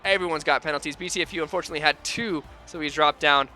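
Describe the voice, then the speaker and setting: Male sim racing host, bright tone, strained sore voice, faint music bed under him. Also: fairly high pitch, quick pace. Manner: energetic, upbeat, folksy. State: voice sore, losing his voice. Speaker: race commentator and host.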